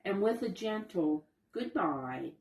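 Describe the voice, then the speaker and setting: A woman reading a picture book aloud to young children, explaining the pictures simply and gently as she goes. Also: sits low, very animated, slow pace, recorded from a distance. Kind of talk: expressive read-aloud storytelling with asides to young listeners. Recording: roomy echo, voice away from mic.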